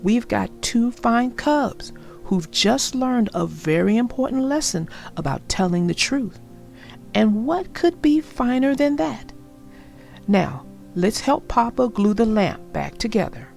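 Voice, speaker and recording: A woman voicing a gentle, wise mother bear in a storybook, tender and measured. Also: a little high, big pitch swings, creaky, faint music behind, full character voice. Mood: reassuring.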